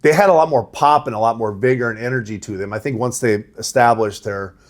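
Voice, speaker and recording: A middle-aged man with a big voice, a rowing coach answering interview questions in a relaxed, conversational way. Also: mid-range voice, quick pace. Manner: conversational, laughs easily, says right? and you know.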